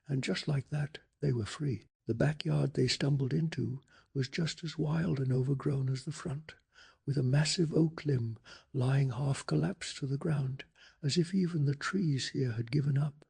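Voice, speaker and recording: Man, soft ASMR bedtime narration, slightly high pitch, very breathy and husky, calming, hushed. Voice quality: breathy, gravelly. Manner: slow, calming, hushed delivery. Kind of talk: soft-spoken ASMR storytelling.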